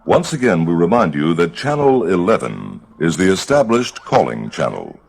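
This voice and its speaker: A man narrates a scripted instructional guide. His voice is low and gravelly, with a husky grain and lively rises and falls in intonation. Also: normal speed.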